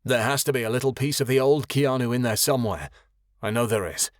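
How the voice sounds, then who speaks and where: A stern, hurt middle-aged father, read by a man in his own voice. He speaks at a medium pitch, harshly at times. The sound is dry and close. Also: fairly quick, no echo, natural voice. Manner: harsh then pleading.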